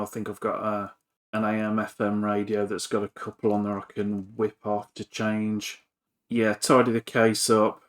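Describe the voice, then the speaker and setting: A man giving an informal, hesitant, rambling commentary on a repair at his workbench, with a self-effacing manner. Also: lowish voice. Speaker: hobbyist electronics repairer and video presenter.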